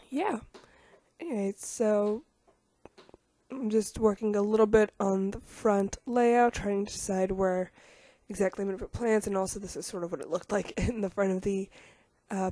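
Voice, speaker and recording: A young woman with a rough voice from getting over a cold, chatting casually over a game video with lots of ums and self-deprecating asides. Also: mid-range voice, dark tone, no echo. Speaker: YouTuber narrating a game speed build.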